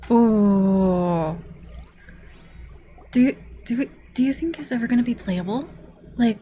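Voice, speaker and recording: A woman's voice: a little high, animated, slow pace, mellow, slight background noise. A woman streaming a game, giving casual, informal and exclamatory live reactions. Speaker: gaming streamer. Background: game music.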